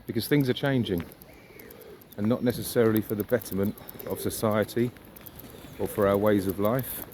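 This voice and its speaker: Low-voiced man, musing outdoors. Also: fairly even intonation, moderate pace, darkish tone. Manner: musing, with rhetorical questions. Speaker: vlogger. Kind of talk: casual reflective monologue while walking. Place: outdoors on a riverside walk. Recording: outdoor ambient noise, birds and traffic.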